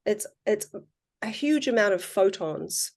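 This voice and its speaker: A woman with a New Zealand accent, a podcast guest and water researcher, talking in an earnest, musing way and slowing down on her key points. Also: medium pitch, lively intonation, darkish tone. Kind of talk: casual conversational interview.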